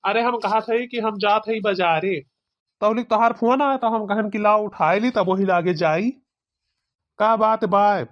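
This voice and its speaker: A man's voice: sits high, steady tone, measured pace. A man voicing a busy village man in an amateur cartoon comedy, plainspoken and repetitive, with an Awadhi accent. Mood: coaxing, curious. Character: busy village man, coaxing then irritated on the phone. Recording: dull, muffled lo-fi sound.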